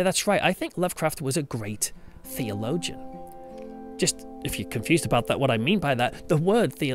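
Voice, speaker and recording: A man hosting a solo podcast, talking conversationally in an emphatic, reflective storytelling manner. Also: medium pitch. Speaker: podcast host and church pastor.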